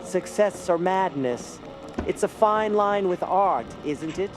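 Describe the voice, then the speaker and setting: An actor playing an eccentric, haughty sculptor haunted by dreams, in a theatrical, brooding radio drama style. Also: male voice, sits high, lively intonation, slow pace. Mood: brooding, sardonic. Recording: loud music behind the voice. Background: music.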